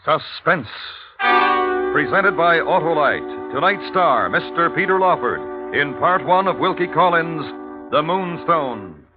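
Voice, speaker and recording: Casting: American male 1950s radio announcer, slightly high dramatic delivery, tinny sound, loud music behind. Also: animated, a little slow. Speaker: program announcer.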